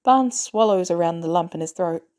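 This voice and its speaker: A woman reading scripted fiction as an audiobook narrator, with expressive delivery. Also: on the low side, normal speed, clean tone.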